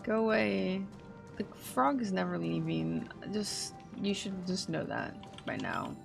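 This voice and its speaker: A woman's voice: on the low side, animated, unhurried, gravelly. Slangy woman streamer over game music. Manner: slangy, profane, playful banter. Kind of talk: casual live reaction chat with viewers. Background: video game music.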